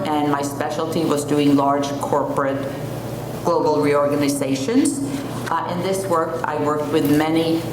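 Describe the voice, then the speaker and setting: A woman in her fifties, from Sweden, speaking English with a Swedish accent, giving an earnest opening statement as a city council candidate, with the odd filler. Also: sits low. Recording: echoey room with noise.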